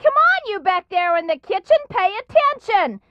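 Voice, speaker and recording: A woman voicing a bossy, irate diner waitress in a video game, loud, piercing, scolding and sarcastic. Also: very high-pitched, big pitch swings, moderate pace, no echo, lo-fi sound. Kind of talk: video game character dialogue.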